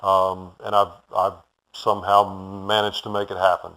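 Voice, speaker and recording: A man's voice: low-pitched, monotone, normal speed, bright, forceful tone, low-quality recording. A man from Houston whose first language was German, answering interview questions in a friendly, polite and rambling way with fillers. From Houston, Texas. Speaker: bicycle shop owner, guest.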